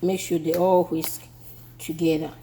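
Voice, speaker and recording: A Ghanaian woman talks through a home cooking demonstration in her kitchen. Her voice is low and mellow, and she speaks slowly, repeating phrases as she works. A faint hum sits behind her. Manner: relaxed, repeats herself while working.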